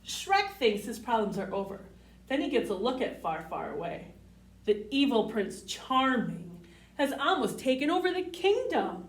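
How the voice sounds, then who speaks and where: A woman's voice: a little high, sing-song, normal speed. A woman reading a storybook aloud to young children as a library storyteller, with a sing-song manner. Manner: sing-song, addressing children with simple questions. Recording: roomy, distant microphone.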